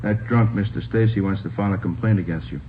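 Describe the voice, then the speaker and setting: An American actor playing a stern, sceptical police lieutenant in 1950s radio drama style, curt and matter-of-fact. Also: male voice, on the low side, fast, natural voice. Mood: stern, accusing. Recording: old radio, narrow band, noisy.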